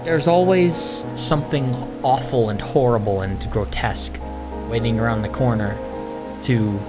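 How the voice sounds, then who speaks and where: A man giving a casual, introspective monologue over gameplay, speaking in a halting, musing way and repeating phrases for emphasis. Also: medium pitch, over music. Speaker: host of a gaming video series.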